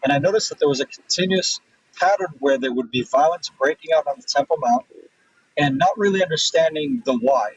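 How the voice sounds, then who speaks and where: A man from Israel answering interview questions thoughtfully, pausing often with fillers and restarts. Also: on the high side, husky. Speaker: guest. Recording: distant, dull remote audio.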